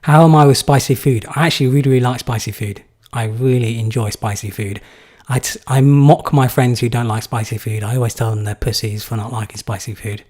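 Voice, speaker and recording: A man's voice: mid-range voice, fairly even intonation, fairly quick, a little mellow. A young man from England answering viewer questions in a live stream, casual and rambling with many fillers. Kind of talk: live Q&A chat.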